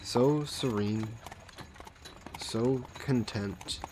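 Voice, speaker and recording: A man playing a suave, possessive vampire lord in an intimate fantasy audio roleplay, speaking softly and coaxingly with condescending affection, as if hypnotizing a captive. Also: medium pitch, drawn-out words, noisy background. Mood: soothing, hypnotic. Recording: steady background carriage and rain ambience. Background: horse-drawn carriage and rain sound effects.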